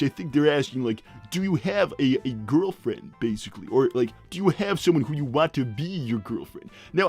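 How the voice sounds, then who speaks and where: A man voicing a brash middle-aged hedgehog dad in improvised livestream comedy, rambling and blunt, with halting tangents. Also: fairly high pitch, slightly rough. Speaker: character host reading viewer messages.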